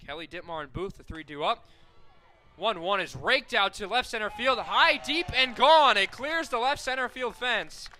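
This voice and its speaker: A young man calling softball play-by-play for college radio, correcting himself often and surging loud on big plays. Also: high voice, expressive, normal speed. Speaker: play-by-play announcer.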